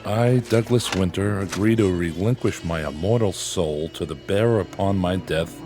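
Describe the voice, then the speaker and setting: A man's voice: sits low, normal speed, natural voice. A man acting in a radio drama as a troubled, irritable newspaper editor, curt and guarded in manner. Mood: wary, skeptical. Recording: music under the voice.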